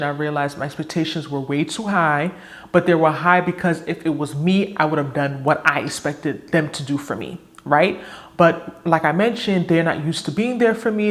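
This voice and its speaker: A woman in her early thirties talking frankly to camera in a casual personal vlog, reflective and candid. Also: deep voice. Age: 30s. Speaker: vlogger.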